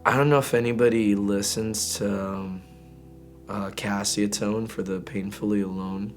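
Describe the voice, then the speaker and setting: A man from Greece, an indie singer-songwriter, talking casually and candidly in an interview in an informal, halting, slangy way. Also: fairly low pitch, steady tone, faint music behind.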